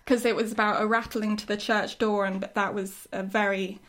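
A woman's voice: medium pitch, little pitch movement, moderate pace, a bit bright. A woman chatting casually and reflectively as a writer looking back on a show, in an agreeable, conversational way. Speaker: writer discussing their own show.

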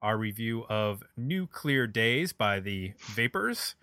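Bright-voiced man hosting a podcast, dry sound. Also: lowish voice, big pitch swings, slow pace, dry recording. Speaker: podcast host. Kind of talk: casual music review chat.

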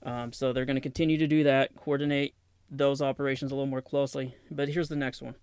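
A man's voice: medium pitch, level tone, fast talker, darkish tone, clean tone, dry recording, clean studio sound. A man with a Southern accent hosting a podcast, talking casually through defense news and reading press releases aloud with plain asides. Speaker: podcast host.

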